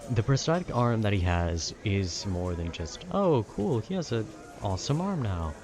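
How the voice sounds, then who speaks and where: A man casually rambling about a video game with nerdy enthusiasm, often halting to search for words. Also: fairly low pitch, sing-song, music in the background. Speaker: voice actor making a rambling ambience video.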